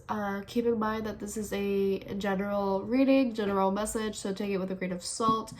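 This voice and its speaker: A woman's voice: medium pitch, gravelly, a little mellow, a little hiss or hum. A woman with a stuffy, congested voice, chatting casually as she gives a tarot reading to her channel's viewers and thinks aloud as she goes. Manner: chatty, thinks aloud, repeats "all right". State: congested, sniffling. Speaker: tarot reader, channel host.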